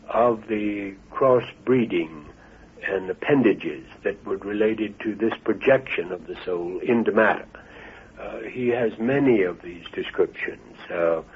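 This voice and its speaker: A man answering interview questions hesitantly, with many pauses and restarts. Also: fairly low pitch, slight background noise. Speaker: interview guest. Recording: narrow lo-fi radio broadcast.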